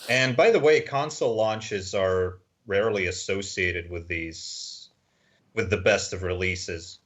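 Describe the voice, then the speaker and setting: Low voiced guy on a gaming podcast, measured opinions, bit of a dull lo-fi mic. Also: fairly bright tone. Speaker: podcast panellist. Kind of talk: casual gaming discussion.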